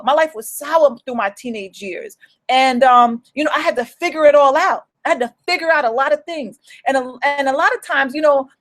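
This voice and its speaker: A young woman with a New York accent giving an earnest motivational talk as a business coach, often repeating and restarting her phrases. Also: high-pitched.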